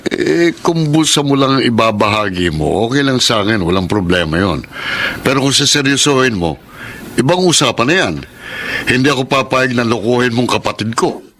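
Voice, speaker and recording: A man's voice: medium pitch, expressive, normal speed, a bit bright. An actor playing a breezy, carousing man in a Filipino radio drama, talking in a casual, cocky way. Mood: casual warning. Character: breezy carousing brother-in-law, protective of his sister. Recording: noisy radio drama track.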